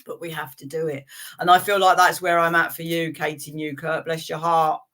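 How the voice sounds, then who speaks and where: A woman's voice: low voice, little pitch movement, normal speed, a bit bright. A woman hosting a live tarot reading stream, chatting in a friendly way with viewers and reading cards for them, with frequent fillers and laughs.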